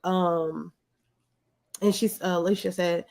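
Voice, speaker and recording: A woman's voice: lowish voice, steady tone, slow pace, vocal fry, darkish tone. A woman talking casually as an interview guest, informal and colloquial in a reflective way. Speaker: guest, videographer.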